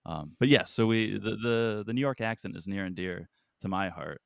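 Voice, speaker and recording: A man from Connecticut, chatting as a podcast guest and making dry jokes. His voice is somewhat low, and the recording is close and dry. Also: normal speed, creaky, clean tone, no room sound. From Connecticut, USA. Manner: dry, wisecracking. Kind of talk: casual chat.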